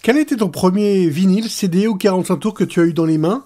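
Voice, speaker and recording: A man's voice: high voice, fast talker, dark tone. A man hosting a casual radio interview, putting short questions to his guest.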